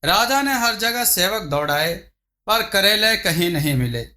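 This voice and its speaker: A man's voice: high voice, sing-song, a little slow, sharp tone. A man reading a scripted folk tale as a storyteller. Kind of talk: scripted narration of a Hindi moral folk tale.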